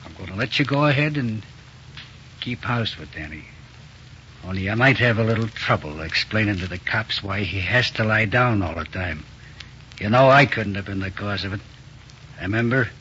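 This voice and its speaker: Casting: sardonic gangster on the run, lowish bright voice, curt slang, old dull radio recording. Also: male voice, animated, moderate pace, a little hiss or hum. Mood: gloating menace. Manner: slangy, curt tough-guy talk. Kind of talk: 1940s radio crime drama acting.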